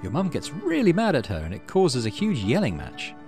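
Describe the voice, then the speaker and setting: Guy doing a lets play, super animated up and down voice, chatty and reading story text, music in background. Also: medium pitch, a little fast. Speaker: gaming video host. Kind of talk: casual game commentary and expressive read-aloud narration.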